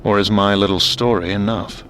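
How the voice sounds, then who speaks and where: A man playing a menacing interrogator in an audio drama, taunting with deliberate threats. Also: lowish voice, steady tone, fairly bright tone, close to an everyday voice. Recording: faint background ambient noise.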